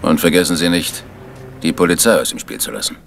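An actor playing a sly, menacing gangster in a crime radio play, mocking and commanding. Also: male voice, extremely low pitch, animated, fairly quick, vocal fry, background noise, heavily acted. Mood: coolly threatening. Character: sly, menacing male gangster making demands. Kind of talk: 1970s German crime radio play acting.

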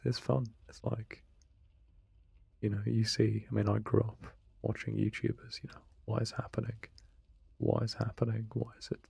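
Young man for a relaxed let's play, soft-spoken and self-deprecating, flat and mellow. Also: on the low side, gravelly, breathy edge, no room sound. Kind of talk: casual late-night gameplay commentary. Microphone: close desk microphone, no webcam.

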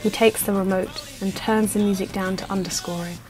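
A woman acting as narrator of a radio play, reading scripted stage directions in a measured, matter-of-fact way. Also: medium pitch, fairly even intonation, music in the background, lightly acted, poor audio.

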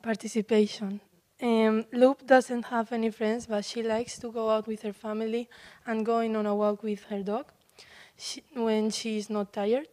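A woman's voice: a little high, flat delivery, a little slow, dark tone. A teenage girl giving a student presentation into a handheld mic in a large meeting room, reading steadily. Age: teens.

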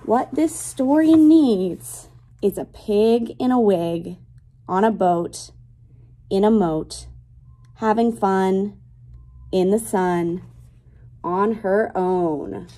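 Slow-paced young woman teacher reading aloud. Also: medium pitch, mellow. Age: 20s. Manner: child-directed, deliberate, questioning. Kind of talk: picture book read-along for young children. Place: small classroom, indoors.

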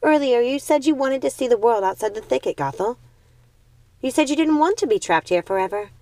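A woman reads the part of a young witch sister in a storybook tale. Her voice rides high and emphatic, full of horror and scorn. She argues and pleads like a rebel, in a dry, close sound. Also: animated, brisk, dark tone, no room sound. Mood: accusing.

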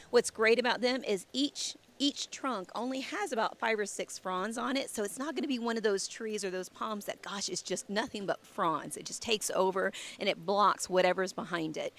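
Chatty woman with a gravelly, fading voice. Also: medium pitch, a little fast, a bit bright. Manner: chatty, enthusiastic. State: losing her voice. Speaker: landscape designer. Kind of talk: casual garden walkthrough. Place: outdoor home garden. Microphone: handheld mic.

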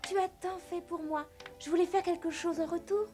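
A woman's voice: very high voice, steady tone, normal speed, mellow, faint music behind. An actress playing an earnest, affectionate young girl in a French cartoon dub. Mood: tender.

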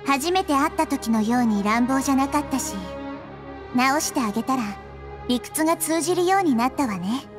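A woman voicing a gentle, wise, child-sized goddess in an anime-style game, speaking in a calm, measured and reflective manner. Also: sits high, over music. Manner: measured, reflective, softly feminine speech.

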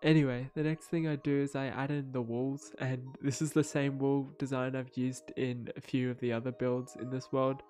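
A young man talks through his build in a relaxed, rambling way, stopping and restarting his sentences often. His voice sits at a middling pitch and has a slightly stuffy, nasal sound from a cold. Soft background music plays faintly beneath him. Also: normal speed, few pauses. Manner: rambling, many fillers and restarts. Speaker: Minecraft builder narrating his speedbuild. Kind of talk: casual voice-over commentary.

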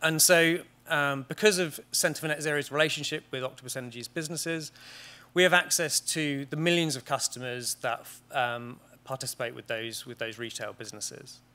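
A British man in his thirties speaks from a conference stage in a large panelled hall. His voice is bright and clear, and he talks at a measured pace, often pausing on "um" and restarting his sentences. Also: medium pitch, fairly even intonation. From Great Britain. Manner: measured, with frequent ums and restarts. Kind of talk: conference presentation. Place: large wood-panelled auditorium.

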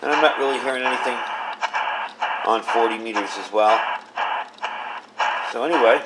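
A man's voice: mid-range voice, moderate pace. A man giving a casual, hands-on product review, explaining things informally and without a script. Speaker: radio reviewer. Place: indoors at a workbench. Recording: clear background noise, room ambience.

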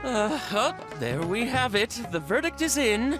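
Nervous young toymaker, hesitant, sighing, lively up-and-down voice, game cutscene. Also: male voice, extremely high pitch, a little slow, music in the background, exaggerated voice. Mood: flustered. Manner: fretful, hesitant, many sighs. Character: anxious young male toymaker. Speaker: toy store worker.

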